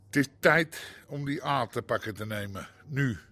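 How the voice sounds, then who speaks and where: A man's voice: medium pitch, normal speed, bright, forceful tone, lightly acted. An actor playing a blustery, colloquial father figure in a Dutch radio drama, insistent and jocular. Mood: resolute.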